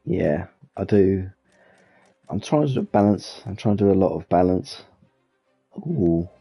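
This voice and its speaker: British man, gaming commentary, dark mellow tone, gravelly, faint music behind. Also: low voice, expressive, measured pace. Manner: rambling, self-correcting, chatty. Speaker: gaming channel host. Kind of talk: casual gameplay commentary.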